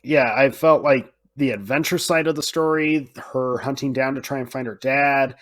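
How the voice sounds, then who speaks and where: A man's voice: mid-range voice, steady tone, moderate pace, fairly bright tone. Emphatic man in casual podcast chat. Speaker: podcast co-host. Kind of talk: casual film discussion.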